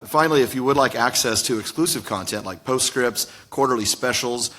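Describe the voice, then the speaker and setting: A man co-hosting a live podcast recording, wrapping up with brisk promotional announcements. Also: mid-range voice, a bit bright. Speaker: podcast co-host. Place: conference room before a live audience.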